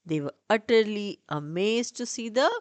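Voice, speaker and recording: A woman narrating a rhyming children's story in an expressive, theatrical and deliberate way. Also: medium pitch, no room sound.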